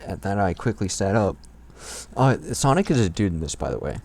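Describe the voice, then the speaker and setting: A man's voice: low voice, very animated, brisk, darkish tone, a little hiss or hum. A man reading fan fiction as an amateur, stumbling over words and breaking into casual commentary.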